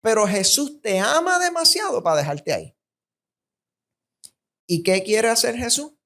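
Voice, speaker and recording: Slow-preaching Puerto Rican man. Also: lively intonation, a bit bright. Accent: Puerto Rican Spanish. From Puerto Rico. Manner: emphatic, rhythmic repetition, rising pitch on key points. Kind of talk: sermon.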